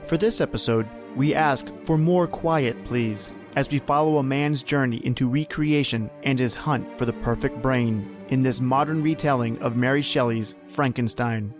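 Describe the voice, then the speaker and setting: Male podcast host, mid-pitched, measured and theatrical spooky scripted intro, music clearly underneath. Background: prominent music.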